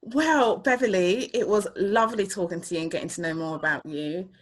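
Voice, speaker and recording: A woman's voice: mid-range voice, a little fast. A woman hosting a casual, chatty arts interview, gushing with praise as she talks. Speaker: show host.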